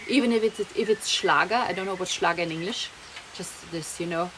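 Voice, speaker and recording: Brief: young German-accented woman, lower voice, rambling casual vlog chat, rain noise behind. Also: fairly quick, fairly bright tone. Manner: rambling, chatty, laughs often, slips German words in. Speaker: vlogger. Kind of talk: casual monologue to camera. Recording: noisy background, rain heard.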